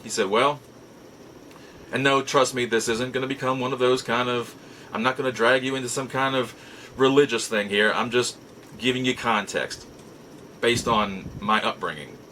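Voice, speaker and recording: Bright-voiced man reminiscing casually, slightly noisy. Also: mid-range voice, fairly even intonation. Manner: halting, repeats and restarts words as he goes. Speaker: host of a nostalgia show. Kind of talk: casual storytelling, personal reminiscence.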